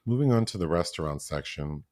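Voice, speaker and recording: A man's voice: low voice, lively intonation, a little fast, darkish tone, no echo, studio quality. A man co-hosting a podcast, talking through a film review in a casual chat full of fillers and restarts. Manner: chatty, many fillers and restarts. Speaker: podcast co-host.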